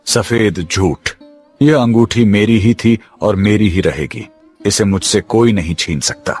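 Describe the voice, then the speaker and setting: A man's voice: fairly low pitch, measured pace, darkish tone, soft background music. A man voicing a greedy, arrogant thief in a cartoon story, with a brash and mocking manner. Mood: defiant.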